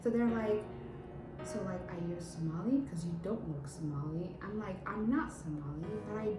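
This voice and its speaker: A young Ethiopian-born woman whose first language is Amharic talks casually to her viewers, slightly low-voiced and full of ums. The sound is roomy and a little distant from the mic. Clear music plays beneath her. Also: moderate pace. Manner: chatty, frequent filler ums, laughs easily. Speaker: vlogger host. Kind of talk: casual chat to camera.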